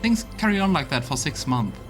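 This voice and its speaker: A man's voice: a little high, very animated, brisk, soft background music, pitched below the performer's own voice. A man voicing a loyal, weary female army officer in a video game, talking in an earnest, folksy way. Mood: matter-of-fact. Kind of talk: voiced video game dialogue.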